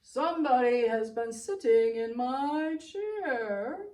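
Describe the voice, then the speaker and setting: A woman voicing a big, indignant father bear in a storybook, loud and emphatic. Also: high-pitched, animated, takes it slowly. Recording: roomy, distant microphone.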